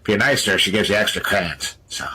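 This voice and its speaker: A man with a raspy voice delivering a comedic monologue. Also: on the low side, fast, bright, forceful tone, low-quality recording.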